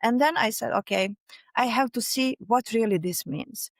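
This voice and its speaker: A middle-aged woman with a Serbian accent, speaking animatedly in an interview and often pausing to search for words. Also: fairly high pitch, no echo. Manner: animated, searching for words, frequent "you know". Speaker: guest, fascia researcher and author. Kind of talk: conversational interview.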